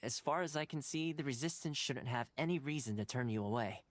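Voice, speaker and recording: A man voicing a calm, considerate young ally in a video game, explaining plans in a measured, articulate way. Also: mid-range voice, dry recording, lightly acted. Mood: calm, reassuring.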